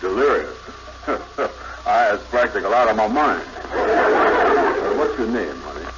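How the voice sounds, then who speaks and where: A simple, boastful sweetheart of a fellow in an old radio sitcom. His voice sits low and his talk is folksy and blustering. The recording is dull and very noisy. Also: big pitch swings, a little slow. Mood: smitten, flirtatious. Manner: folksy, ungrammatical, hesitant bluster. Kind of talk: 1950s radio comedy acting.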